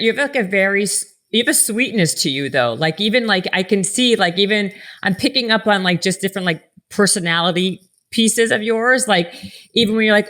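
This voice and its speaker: Chatty woman podcast host, many fillers. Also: medium pitch. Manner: warm, chatty, filler-heavy with "like". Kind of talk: casual interview chat.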